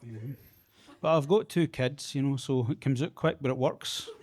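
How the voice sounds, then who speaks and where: A Scottish man doing a stand-up set in a basement comedy club, halting and repeating words, with a deadpan delivery on a handheld mic. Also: medium pitch, a crowd in the background.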